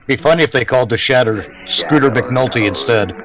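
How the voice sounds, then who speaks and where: A man's voice: mid-range voice, brisk, over music, low-quality recording. A man giving casual, mocking commentary as a wisecracking co-host. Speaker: co-host riffing on old radio shows.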